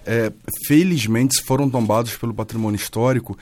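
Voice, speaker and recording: A man's voice: medium pitch, fairly quick, a little mellow. A man from Rio de Janeiro with a carioca accent, a guest on a radio interview, explaining earnestly and restarting often. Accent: Carioca Brazilian Portuguese. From Rio de Janeiro, Brazil.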